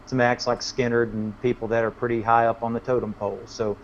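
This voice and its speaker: A man's voice: medium pitch, little pitch movement, a little fast. A man speaking as a musician guest in a casual interview, laid-back and folksy. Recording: dull lo-fi connection, faint noise.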